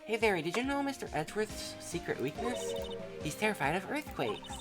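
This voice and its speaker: Woman as teenage game sidekick: girlish, inquisitive, perky, high and very swoopy. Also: very animated, brisk, over loud music, close to an everyday voice. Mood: curious, perky.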